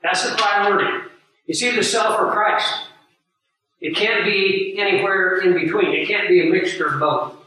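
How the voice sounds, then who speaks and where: Hoarse preacher in a booming echoey room. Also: male voice, bright, forceful tone. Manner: earnest, emphatic, unhurried teaching with pauses. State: hoarse, scratchy from flu. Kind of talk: sermon teaching with live audience. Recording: very echoey room, voice far from mic.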